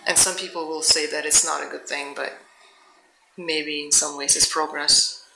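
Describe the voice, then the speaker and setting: A woman's voice: deep voice, steady tone, moderate pace, vocal fry, sharp tone. A middle-aged woman from the Soviet Union, answering audience questions as a guest author in a reflective, searching way. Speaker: author and university teacher, guest speaker. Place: indoor public event room. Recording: echoey room, faint background noise, clicks.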